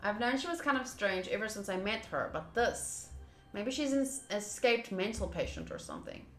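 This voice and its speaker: A woman's voice: medium pitch, very animated, normal speed. A woman game streamer reading a visual novel aloud and adding casual commentary as she plays. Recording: roomy echo, faint game music. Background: faint game music.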